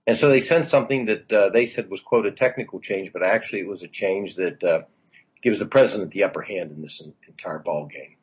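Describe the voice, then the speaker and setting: Low husky guy on talk radio, rambling political commentary, kinda dull lo-fi sound. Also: a little fast. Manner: rambling, many fillers and asides. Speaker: former congressman, political commentator.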